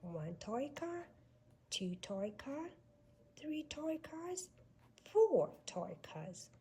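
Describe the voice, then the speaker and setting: A woman reads a picture book aloud for small children. Her voice is fairly high and swings widely in pitch in a sing-song way. She takes it slowly, leaving room for little listeners to follow. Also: a little hiss or hum. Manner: expressive, sing-song delivery for young children. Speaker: storybook reader. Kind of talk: read-aloud children's storytelling.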